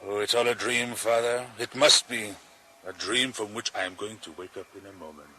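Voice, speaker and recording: An actor playing an excitable, dreamy Frenchman in a British radio drama. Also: fairly low pitch, moderate pace, husky, poor audio. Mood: dazed, theatrical.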